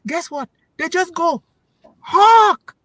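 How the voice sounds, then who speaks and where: A woman's voice: extremely high pitch, expressive, takes it slowly, fairly bright tone, no room sound. A woman speaking on a talk show panel as a parent, giving an emphatic, preachy talk that repeats key words for stress and puts questions to her listeners. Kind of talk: motivational talk.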